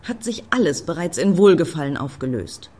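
An actress playing a breezy, sardonic club activist in a radio play, offhand and dryly mocking. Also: female voice, on the low side, animated, normal speed, a little mellow. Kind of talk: German radio play acting.

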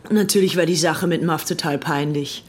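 A woman's voice: low voice, normal speed, slight background noise, natural voice. An actress playing an infatuated, spoiled young aristocratic woman, confiding in a gushing, chatty way as if from her diary. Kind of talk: acted diary-like confiding in a docudrama.

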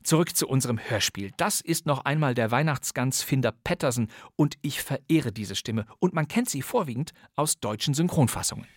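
A man's voice: medium pitch, lively intonation, moderate pace, few pauses, husky, no room sound. Enthusiastic male podcast host, dry studio sound. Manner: enthusiastic, knowledgeable storyteller. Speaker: podcast host presenting radio dramas. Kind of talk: scripted podcast narration.

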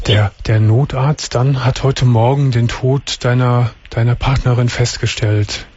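A man hosting a late-night radio call-in show, with a husky, slightly breathy voice at a middle pitch. He asks calm, patient questions and lets the caller talk. The audio is dull and lo-fi. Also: a little slow.

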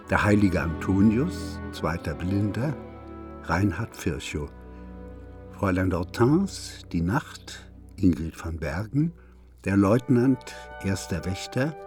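A male radio announcer reads scripted credits in a fairly low voice. He goes very slowly, name by name, with music clearly playing behind him.

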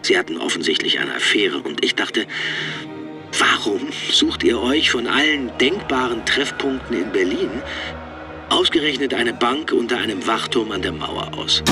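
A man's voice: medium pitch, very animated, normal speed. A man reading first-person quotes as a voice-over in a radio documentary. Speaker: voice-over speaker of translated quotes. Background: music.

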